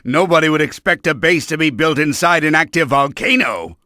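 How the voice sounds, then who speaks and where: A man's voice: on the high side, animated, moderate pace, bright, forceful tone, no room sound, clean studio sound. A man voicing a bombastic, scheming middle-aged mad-scientist villain in a video game, theatrical and blustering, with a hot temper. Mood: commanding, smug.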